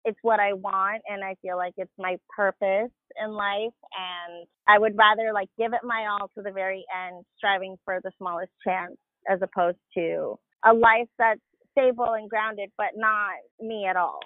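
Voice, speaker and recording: A woman's voice: medium pitch, steady tone, normal speed, no room sound. Earnest woman guest on a phone-like line. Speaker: podcast guest, stage actor and singer. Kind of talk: candid personal conversation.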